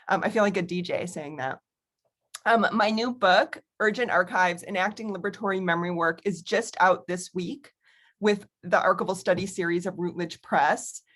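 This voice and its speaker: A middle-aged American woman, an academic author, giving a prepared talk on a video call with candid, conversational asides. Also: medium pitch.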